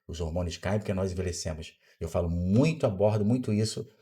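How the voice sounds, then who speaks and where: A Brazilian man in his fifties speaks as a podcast guest, with a somewhat low voice. His delivery is didactic, and he often asks a question aloud and then answers it, the way a doctor explains things to a patient. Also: fairly quick, mellow. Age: 50s. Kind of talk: explanatory interview talk.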